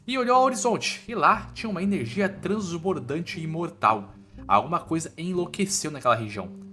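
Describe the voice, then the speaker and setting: A man's voice: a little high, expressive, brisk, sharp tone. A man with a Brazilian accent narrating a story recap from a script in an informal style, with music behind him.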